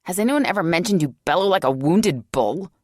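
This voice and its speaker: A woman's voice: lowish voice, expressive, fairly quick, no room sound, studio quality. A woman narrator voicing a feisty young female motorcycle mechanic who talks sharply. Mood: sassy. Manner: sharp and sassy. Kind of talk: audiobook character voice.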